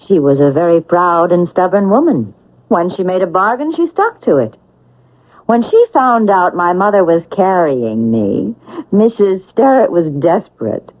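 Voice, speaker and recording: A woman's voice: fairly low pitch, big pitch swings, a little slow. An actress in a radio drama playing a cold, scheming, sardonic woman with a theatrical, calculating manner. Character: cold scheming housekeeper's daughter plotting murder, sardonic woman. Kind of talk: 1970s radio drama acting. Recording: muffled, lo-fi old radio broadcast.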